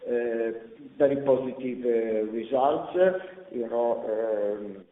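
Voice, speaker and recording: A man presenting company results as an executive on a conference call, speaking haltingly with repeated words and drawn-out pauses. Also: medium pitch. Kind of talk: earnings conference call presentation, semi-scripted business talk. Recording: echoey hall reverb, slightly dull conference-call audio.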